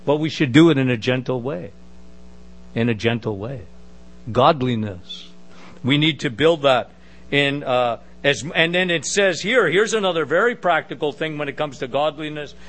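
Man, middle-aged, preacher, booming voice, emphatic, fairly high voice, faint electrical hum. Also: a bit bright. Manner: booming, emphatic, conversational asides. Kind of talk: sermon.

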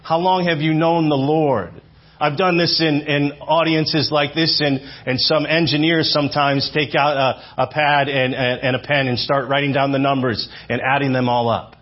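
Husky man preaching a casual sermon. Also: a little high, little pitch movement, moderate pace. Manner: conversational, repeats phrases, asks the audience questions. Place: church hall with audience. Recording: dull lo-fi sermon audio.

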